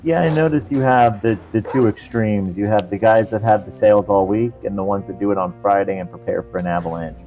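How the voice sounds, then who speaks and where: A man's voice: on the low side, a little fast, hardly any pauses, mellow, faint music behind, poor audio. A man chatting casually on a stream in an easygoing, rambling way. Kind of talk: casual chat.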